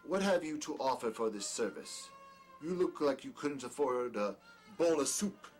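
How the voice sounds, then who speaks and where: A haughty, smooth-talking doctor played by a male actor in an audio drama. His formal, wordy speech swings animatedly in pitch, courteous on top and scornful underneath. Music plays behind him. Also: lively intonation, normal speed, darkish tone, lightly acted. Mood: condescending, probing. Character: peculiar, condescending male doctor, smoothly charming yet scornful. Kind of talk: dramatic dialogue in an audio play or table reading.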